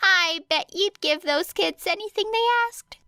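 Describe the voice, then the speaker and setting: Woman doing a hyper teasing radio host guy for an audiobook, bright voice, super dry close sound. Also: very high-pitched, a little slow, no echo, pitched above the performer's own voice, exaggerated voice. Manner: exaggerated, playful, needling. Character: manic, teasing, theatrical man, radio host and teacher. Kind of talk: acted audiobook dialogue.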